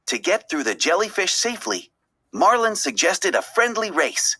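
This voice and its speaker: A woman reading a children's story aloud as an expressive, dramatic narrator. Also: on the low side, normal speed, husky. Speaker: storybook reader.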